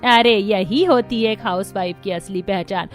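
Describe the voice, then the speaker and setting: A woman's voice: medium pitch, moderate pace, soft background music, natural voice. A woman voicing a proud, approving older mother-in-law in a cartoon story.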